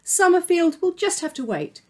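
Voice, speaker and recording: A woman's voice: very high-pitched, sing-song, moderate pace, voice pushed up. A woman voicing a breezy office clerk.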